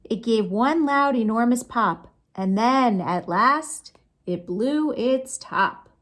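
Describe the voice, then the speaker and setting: A woman with a fairly high voice hosts a children's storytime. She reads at a leisurely pace with big, swooping intonation. Her manner is sing-song and expressive, with chatty asides to the kids. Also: slow pace. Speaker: children's librarian storytime host. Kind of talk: animated picture-book read-aloud for children.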